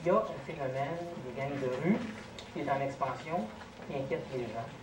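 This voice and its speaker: A man with a Québécois accent, answering audience questions in a measured, explanatory way as a guest speaker at a lecture. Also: mid-range voice. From Quebec. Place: college lecture room. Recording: echoey, noisy college room, distant mic.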